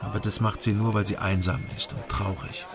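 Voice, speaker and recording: An actor playing a troubled, gentle loner in a radio crime drama, speaking in an understated, slightly hesitant way. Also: male voice, fairly low pitch, flat delivery, fairly quick, a crowd in the background, close to an everyday voice. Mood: gentle, reassuring. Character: awkward, troubled loner man, gentle with a child. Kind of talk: German radio crime drama acting and narration.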